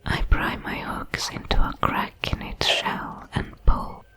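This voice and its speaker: A woman voicing a curious, solemn alien creature, a shard of a hive mind, in a whispered, halting ASMR sci-fi roleplay. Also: a bit bright. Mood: solemn wonder. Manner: slow, deliberate, halting over its words.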